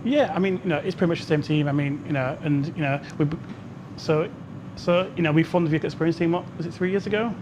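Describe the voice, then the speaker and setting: A man answering casually as an interview guest, halting and often repeating himself. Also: on the high side, noisy background. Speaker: developer guest.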